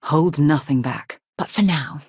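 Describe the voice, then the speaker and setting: An actress playing an earnest, principled woman space explorer and leader in a video game, with a crisp, articulate, slightly husky delivery. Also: low voice, unhurried, a little mellow, no room sound, studio quality, full character voice. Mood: grave. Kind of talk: scripted game dialogue.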